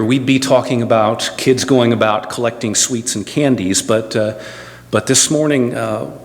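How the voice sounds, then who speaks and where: Low-voiced pastor in a reverberant church. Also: male voice. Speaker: pastor introducing a guest speaker. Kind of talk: informal pulpit introduction. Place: church sanctuary. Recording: long church-hall reverb.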